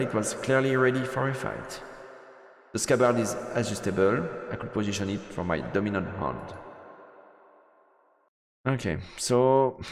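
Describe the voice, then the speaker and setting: A man streaming a visual novel, reading the story aloud as dramatic narration with a halting delivery that stumbles over words. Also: fairly low pitch. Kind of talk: dramatic narration of game text. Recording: reverberant, echoey room sound. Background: game music.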